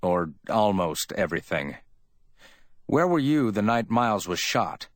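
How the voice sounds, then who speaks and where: A man's voice: on the low side, very animated, unhurried, no echo, clean studio sound. A man playing a wry, offhand hardboiled man in an audiobook, speaking in a clipped, lightly amiable, dismissive way. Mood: cool, advising. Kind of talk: dramatic audiobook character reading.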